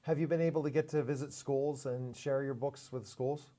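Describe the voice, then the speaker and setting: A man's voice: medium pitch, steady tone, moderate pace, warm tone. A man hosting a TV interview show in a studio, rambling with restarts and earnest, lecturing asides. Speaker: talk show host. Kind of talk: casual interview talk.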